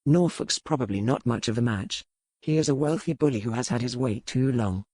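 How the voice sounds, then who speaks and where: A man's voice: mid-range voice, a little fast, mellow, breathy edge, dry recording, lightly acted. Man playing a gallant, self-assured pirate lord, dry sound. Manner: formal, assured period phrasing.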